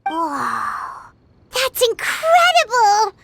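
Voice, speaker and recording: A woman voicing a tiny, cheeky, childlike fairy companion in a video game, squeaky and exclamatory, talking about herself in the third person. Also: wildly swooping pitch, very slow, vocal fry, noisy background, full character voice. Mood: amazed. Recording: music playing underneath.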